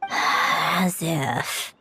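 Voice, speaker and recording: A woman's voice: sits low, very slow, gravelly, pushed and bright, a little hiss or hum, full character voice. An actress playing a proud, prickly young woman in a game, tart and easily flustered. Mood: dismissive. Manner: tart, exasperated, sardonic. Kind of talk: animated game voice acting.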